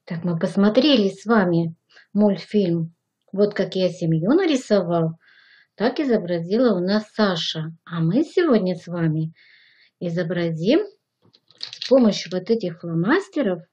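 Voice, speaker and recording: A woman gives step-by-step instructions as a teacher. Her voice is soft-edged and mellow, and she speaks slowly in short, simple phrases. The sound is slightly dull. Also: lowish voice, lively intonation. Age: 60s. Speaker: children's art and animation teacher. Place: indoor room, plain wall.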